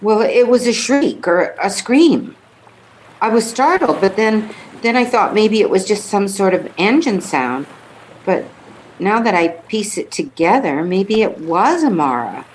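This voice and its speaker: A timid boat passenger played by a woman, halting and flustered. She speaks slowly at a medium pitch in a radio drama, with faint noise. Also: big pitch swings, rough recording. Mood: flustered, hesitant. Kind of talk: radio play acting.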